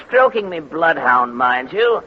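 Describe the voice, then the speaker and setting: Brief: pompous detective, put on by a male radio comic, lively delivery, dull noisy broadcast sound. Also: on the high side, measured pace. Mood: boastful. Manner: pompous, self-important. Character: pompous British detective, middle-aged man.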